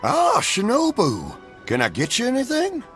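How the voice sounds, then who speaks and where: A jovial male shopkeeper in an anime-style game. His voice is high for a man and swoops widely, obliging and forgiving, over faint music. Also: wildly swooping pitch, moderate pace, heavily acted. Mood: genial.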